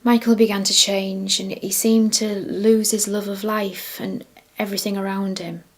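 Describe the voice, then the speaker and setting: An actress playing a grieving, devastated middle-aged mother, giving a breathy testimonial monologue in a film drama. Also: mid-range voice, level tone, normal speed, natural voice. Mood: bereft.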